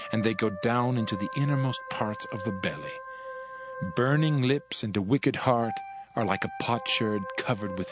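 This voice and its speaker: A man giving a solemn, declamatory, dramatized reading of scripture in measured phrases. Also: on the low side. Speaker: audio Bible narrator. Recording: music behind the voice. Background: background music.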